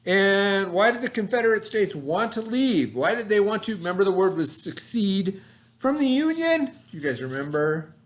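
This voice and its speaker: A man teaching a class from home, voice sitting a little high for a man. He explains each word patiently with everyday examples and keeps checking that the kids follow. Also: big pitch swings, normal speed. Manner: checks in often with "okay" and "right". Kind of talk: recorded classroom lesson for students at home.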